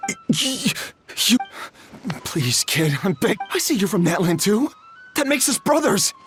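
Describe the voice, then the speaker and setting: An actor playing a desperate, lying middle-aged gambler in a video game, pleading, stammering and blustering. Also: male voice, sits high, sing-song, a little slow, a bit bright, faint music behind, heavily acted. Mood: wheedling pleading. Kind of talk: animated video game dialogue.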